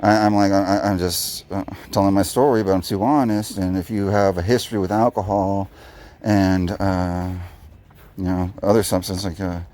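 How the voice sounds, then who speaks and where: A man in his thirties with a raspy, low voice, talking candidly and rambling with many restarts while doing yardwork outdoors. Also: fairly even intonation, normal speed, darkish tone. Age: 30s. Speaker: vlogger. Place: outdoors in a yard.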